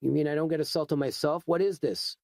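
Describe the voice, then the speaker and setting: Guy doing a young slangy punk, lazy drawl, a little higher than his own voice. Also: steady tone, quick pace, creaky, darkish tone, dry recording, studio quality. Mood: offhand. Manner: drawling, casual, says "man" a lot. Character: young male hoodlum, laid-back gum-chewing slang talker.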